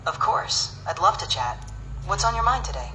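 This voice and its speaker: Calm assistant voice, scripted advice, mid pitch, heard from a distance, faint hiss behind. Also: moderate pace. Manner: calm, even-toned, advice-giving. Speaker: AI chat assistant.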